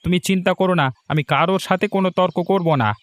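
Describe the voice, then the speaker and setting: A man's voice: high-pitched, fast, no room sound, lightly acted. A man voicing a poor, idealistic young village son in a cartoon, earnest and resolute. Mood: resolute, reassuring. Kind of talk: Bengali fairy-tale cartoon dubbing.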